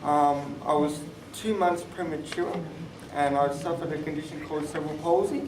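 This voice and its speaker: Male, twenties, high voice, explanatory panel speaker; recorded far from the mic in an echoey room. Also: fairly even intonation, normal speed. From born in Thailand, moved to Australia. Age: 20s. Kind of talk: personal talk on a live panel podcast. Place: conference room, live event. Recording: roomy, distant mic, clear background noise.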